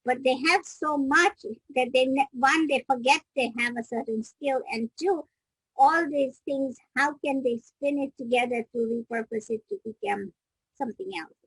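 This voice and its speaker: A middle-aged woman from Kenya talks through her story as an interview guest, pausing and restarting her sentences as she reflects. Her voice sits fairly high and sounds husky. The recording is dry and close, with no room sound. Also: fairly bright tone, no echo, rough recording. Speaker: guest, business coach.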